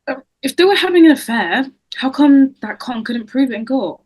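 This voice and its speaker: A woman's voice: sits high, animated, moderate pace, a little mellow, slightly rough, no room sound, rough recording. A woman playing a wounded, guarded teenage girl in a script table read. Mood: hurt, defensive.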